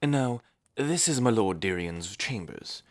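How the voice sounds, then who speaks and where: A man doing a low, formal royal steward. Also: animated, unhurried. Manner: courteous, formal, slightly dry.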